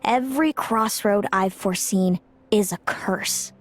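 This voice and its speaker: A woman voicing a proud, fatalistic older sister in a video game, measured and grave. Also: mid-range voice, natural voice. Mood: resigned. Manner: measured, grave, speaks of fate with cool confidence.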